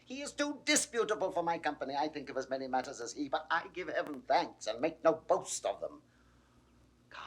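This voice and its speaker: An actor playing a melancholy, mocking philosophical lord in a 1960s classical Shakespeare audio drama, with a theatrical, wry drawl. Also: male voice, fairly high pitch, very animated, a little fast, breathy edge. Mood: mocking, sardonic.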